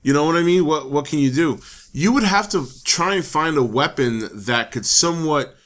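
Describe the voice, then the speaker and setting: A man with a hoarse voice hosting his own talk show, thinking aloud in a casual, rambling way. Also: fairly high pitch, normal speed, a bit bright. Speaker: host. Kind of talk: casual solo talk to camera.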